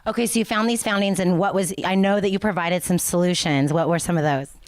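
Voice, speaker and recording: An American woman with a slightly low voice works as a local news interviewer. She is upbeat and conversational, affirming her guest, and the sound is dry with little room echo. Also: fairly even intonation, fast. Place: office.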